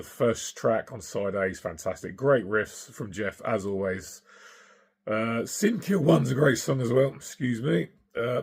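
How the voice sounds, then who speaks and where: A middle-aged man with a hoarse, husky voice from singing, chatting casually and enthusiastically about records he has collected, with plenty of ums and uhs. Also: mid-range voice, darkish tone. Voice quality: gravelly, husky. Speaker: vinyl collector vlogger.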